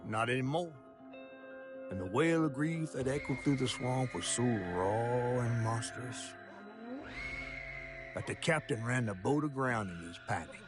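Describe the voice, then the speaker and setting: An actor playing a folksy, cautionary storyteller with a Southern American accent, in a dramatic game performance. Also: male voice, mid-range voice, expressive, measured pace, long pauses, lightly acted. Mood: grave, dramatic. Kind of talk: dramatic folk-tale narration and game dialogue. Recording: studio voice over music.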